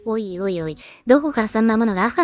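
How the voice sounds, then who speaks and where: A woman's voice: on the high side, expressive, quick pace, soft background music. A woman thinking aloud in short fragments as she works, casually commenting on her creative process as a solo creator. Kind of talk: casual muttering to self while working.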